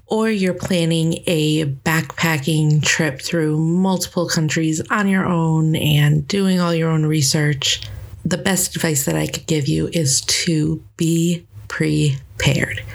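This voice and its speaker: A woman's voice: sits low, creaky. A woman hosting a travel podcast from New England, talking in an upbeat, chatty way and laughing often. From New England, United States. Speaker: podcast host and travel planner. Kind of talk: casual travel podcast chat.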